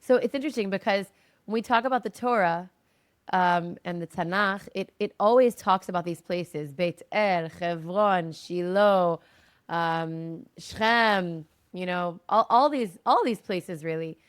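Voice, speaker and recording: A woman's voice: lowish voice, a little slow, clear, resonant tone. A young woman from Tennessee hosting an interview podcast, keen and casual, filling her sentences with "like" and "you know". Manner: enthusiastic, uses casual fillers like "like". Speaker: podcast host. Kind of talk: interview conversation.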